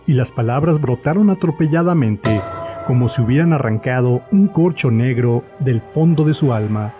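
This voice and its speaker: A man's voice: mid-range voice, lively intonation, normal speed, music in the background. A man narrating a scripted horror story as the narrator of an audio drama.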